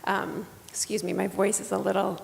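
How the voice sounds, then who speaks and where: This is a woman of medium pitch whose voice is partly gone and wavers with a slight tremor. She speaks earnestly and casually from a stage, pausing on "um"s. A long reverb from the big hall rings around her. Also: level tone, vocal fry. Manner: earnest, with frequent "um"s. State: partly lost voice, with a vocal tremor. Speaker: reading teacher and children's book author. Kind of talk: casual onstage book talk. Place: stage at a live book event in a large hall.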